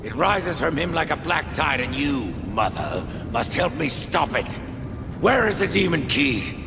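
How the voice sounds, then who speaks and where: A man's voice: fairly high pitch, sing-song, a little slow, creaky, background noise, heavily acted. An actor playing a grim, stern male horseman in a video game, with a cold, terse and defiant manner. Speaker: game character. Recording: game cutscene with loud music.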